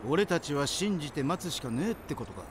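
A man playing a cool, gallant, rough-spoken young adventurer in an animated game cutscene. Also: fairly high pitch, a little fast, noisy background, lightly acted. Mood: concerned.

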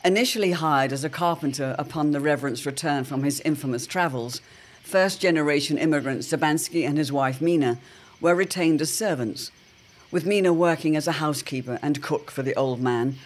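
A man narrating a scripted serial drama in a theatrical, portentous manner. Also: a little high.

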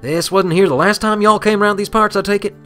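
A man's voice: high voice, brisk, sharp tone, faint music behind, pitched above the performer's own voice. A man voicing a friendly, curious cowboy pony with a folksy way of talking in a storybook. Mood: puzzled.

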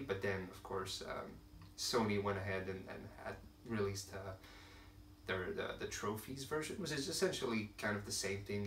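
A young man with a Quebec French accent speaks casually to camera in English, his second language, stopping often to hunt for words. His voice sits a little low. The sound is roomy and distant, with a faint hiss behind it. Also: fairly bright tone. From Quebec, Canada. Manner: hesitant, many fillers and restarts, searching for words. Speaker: channel host. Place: room at home with shelves of films and games.

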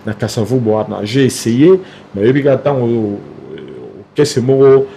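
A Congolese man in his forties with a Kinshasa accent, a singer talking in a casual studio interview, halting with many fillers and restarts. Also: mid-range voice, expressive, vocal fry, mellow, slight background noise. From Democratic Republic of the Congo. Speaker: singer guest. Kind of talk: casual interview talk mixing Lingala and French. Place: small TV studio, indoors. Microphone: desk mic on stand, close.

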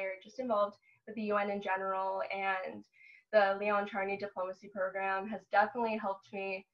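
A young American woman gives a casual introduction on a video call. Her mid-range voice stays fairly flat in pitch, and the audio is somewhat dull. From Florida, USA. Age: 20s. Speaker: university student. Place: indoor room at home. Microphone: laptop on video call.

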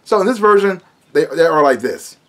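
An American man in his thirties with a voice a little higher than average talks casually to a camera at home. He sounds like a vlogger giving a review, emphatic and prone to repeating words and phrases as he goes. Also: sing-song, moderate pace, a bit bright. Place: small room at home, indoors.

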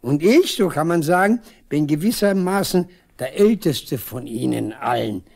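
A man's voice: fairly high pitch, animated, unhurried, creaky, darkish tone, heavily acted. A proud old country man in a radio play. He badgers and boasts with stubborn insistence, as a veteran who expects respect.

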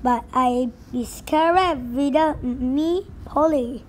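A woman's voice: high voice, drawn-out words, a little mellow. Woman doing a swaggering pirate bus driver. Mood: panicky. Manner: hearty pirate slang, growling arrs. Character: blustering pirate captain turned bus driver, boastful but easily scared. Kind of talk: storybook read-aloud.

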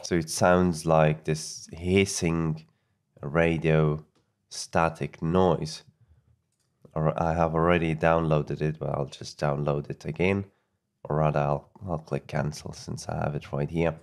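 Male tutorial narrator, extremely low register, slow and halting, unscripted feel with repeated words. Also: flat delivery, creaky. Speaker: audio editing tutorial presenter.

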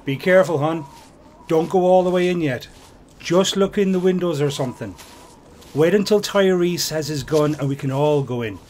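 A man's voice: on the high side, moderate pace, a little mellow, slight background noise, lightly acted. A man playing a caring, cautious husband among survivors in a comic dub. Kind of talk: amateur comic-dub voice acting.

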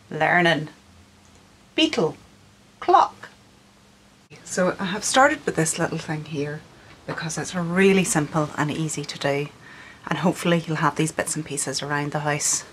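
A woman's voice: sits low, creaky, a bit bright, slight background noise. A middle-aged woman from Scotland who speaks with a Northern Irish accent, an artist patiently explaining a craft workshop step by step. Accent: Northern Irish with faint Scottish traces. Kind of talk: instructional craft tutorial. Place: indoor room with storage shelves.

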